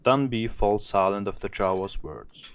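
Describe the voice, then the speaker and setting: A man's voice: fairly low pitch, expressive, unhurried. A man narrating an audio drama from a script in present tense as the storyteller.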